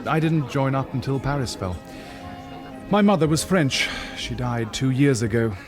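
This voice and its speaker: An actor playing a seasoned wartime resistance leader in a radio drama, firm and plain-spoken. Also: male voice, medium pitch, expressive, moderate pace, over music, close to an everyday voice. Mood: relaxed, reflective.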